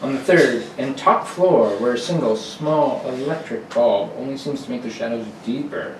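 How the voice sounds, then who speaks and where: A man's voice: mid-range voice, moderate pace. A man narrating a radio serial in a theatrical 1940s announcer style. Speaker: radio drama narrator and actor. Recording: echoey noisy room, distant mic.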